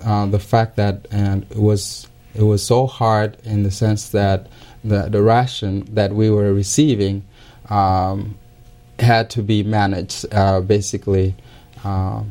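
Soft-spoken man from South Sudan, halting. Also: fairly low pitch, fairly even intonation. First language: not English; English learned as second language. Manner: halting, many pauses and "uh" fillers, earnest. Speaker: interview guest. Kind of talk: personal testimony in interview.

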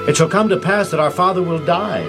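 Judah, a humble pleading brother. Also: male voice, high voice, fairly quick, over loud music. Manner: earnest, pleading.

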